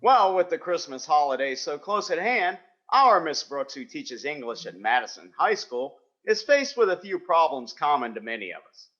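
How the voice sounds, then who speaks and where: Animated radio sitcom announcer. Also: male voice, fairly high pitch, normal speed. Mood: theatrical. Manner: theatrical announcing. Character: old-time radio sitcom announcer, genial storyteller. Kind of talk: scripted radio narration. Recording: slightly dull, lo-fi sound.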